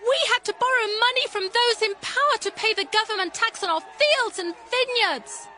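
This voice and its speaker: Fed up poor villager woman, shrill complaint, really high, sharp. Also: normal speed. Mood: aggrieved. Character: indignant, burdened peasant woman in debt.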